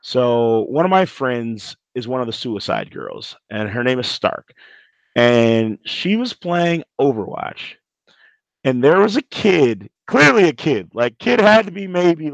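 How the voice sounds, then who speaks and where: A man's voice: sits high, dry recording, lo-fi sound. A man chatting casually as a podcast guest, earnest and emphatic, often posing questions and answering them himself. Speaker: podcast guest, gaming commentator. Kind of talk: casual chat.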